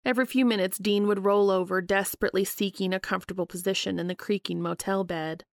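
A woman's voice: mid-range voice, steady tone, quick pace, no room sound, clean studio sound. A woman podcast host reading fan fiction aloud as scripted narration.

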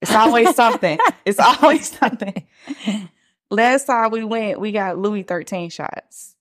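A woman's voice: medium pitch, measured pace, fairly bright tone. A woman, hosting a casual podcast chat, talking loosely with lots of fillers. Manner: chatty, lots of "like" fillers, laughs easily. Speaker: podcast host.

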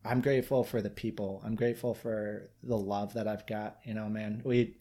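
A man's voice: fairly low pitch, flat delivery, normal speed, dark tone. Earnest man with a Yooper accent. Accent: Michigan Upper Peninsula. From Michigan. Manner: earnest, reflective, rambling. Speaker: guest. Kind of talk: casual interview chat.

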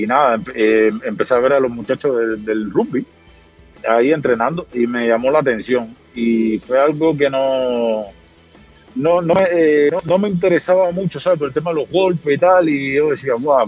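A Cuban man in his thirties talks through his past in a loose, rambling flow full of fillers. His husky voice comes over a slightly dull, lo-fi call line. Faint music sits low behind him. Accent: Cuban Spanish. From Cuba. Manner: relaxed, rambling storytelling with many fillers. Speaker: podcast guest, former athlete. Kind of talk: casual interview conversation.

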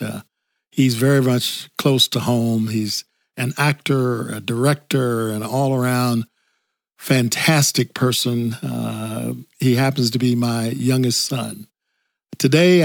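A man's voice: mid-range voice, no echo. Older guy hosting a podcast, gushing, chuckly, dry close mic. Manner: warm and enthusiastic, heavy on praise. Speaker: podcast host. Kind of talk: casual interview chat.

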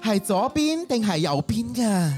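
A woman acts out a lovesick young gentleman of a spoof radio romance, exclaiming everything melodramatically. The pitch swoops widely, and loud music plays behind. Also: sing-song, measured pace. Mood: hungry, playful. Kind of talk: spoof Cantonese radio drama.

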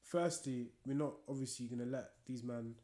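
Mellow man, echoey room. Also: mid-range voice, moderate pace. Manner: storytelling with many fillers and restarts. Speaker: podcast co-host. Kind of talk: casual conversation.

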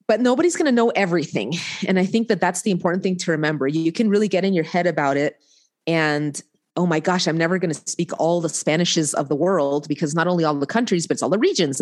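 Chatty woman with a lowish voice. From United States. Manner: chatty, laughing, warm asides. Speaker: court interpreter guest. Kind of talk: casual interview conversation.

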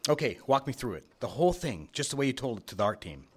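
An actor playing a married advertising boss in a live radio drama, commanding and emphatic yet smitten. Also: male voice, medium pitch, very animated, quick pace, slightly rough. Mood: brisk, commanding. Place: live on stage in a theater.

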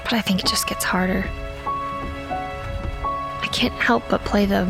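A woman's voice: mid-range voice, steady tone, a little slow, vocal fry, loud music behind the voice. An actress playing a weary, caring woman in grief, in a naturalistic audio drama. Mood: grieving, sad. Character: grieving, weary small-town woman, subdued and caring.

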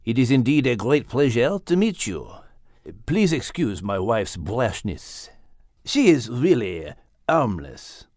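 A man's voice: mid-range voice, slow, no room sound, studio quality. Man doing a courtly, lilting French rooster. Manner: calm, courtly, polite. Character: courteous, patient henpecked French rooster husband. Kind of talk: animated audiobook character reading.

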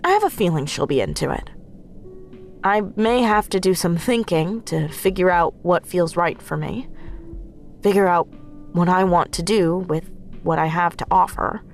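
A woman's voice: lowish voice, moderate pace, creaky, soft background music. A woman acting a confident, playful and driven young hacker in a naturalistic audio drama. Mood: thoughtful, amused.

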